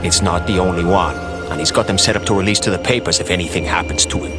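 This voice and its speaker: A man's voice: deep voice, fast, over loud music, lightly acted. An actor playing a cocky, flippant mercenary swordsman who banters mockingly in a video game. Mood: breezy mockery. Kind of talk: video game cutscene voice acting.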